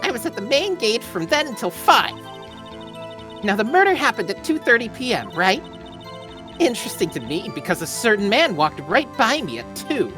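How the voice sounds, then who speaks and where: Woman as elderly female guard in a courtroom game: talkative, coy, huffy, fairly high and very animated, music behind. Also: normal speed. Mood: gossipy testimony. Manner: rambling, coy, doting grandmotherly endearments. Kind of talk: playful amateur voice-over of a courtroom game.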